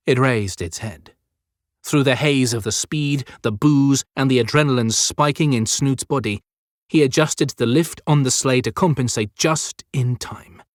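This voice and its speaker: Guy reading an audiobook, theatrical and suspenseful, medium pitch, super dry studio recording. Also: no echo. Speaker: audiobook narrator. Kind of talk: dramatic scripted fiction narration.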